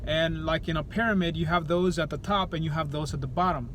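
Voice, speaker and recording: A man's voice: a little high, a little fast, fairly bright tone, a little hiss or hum. A man from El Salvador talking straight to camera in an opinionated vlog, blunt and profane, often restarting his sentences. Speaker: YouTuber.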